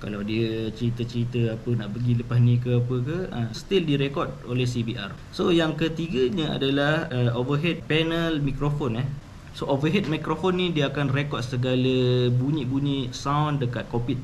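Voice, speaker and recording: A Malaysian man hosts an informal explainer in an ordinary mid-range voice. He talks conversationally, switching in English terms and tossing in tag questions. Clear background noise sits behind him. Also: mid-range voice, normal speed. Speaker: YouTube channel host. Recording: clearly noisy background ambience.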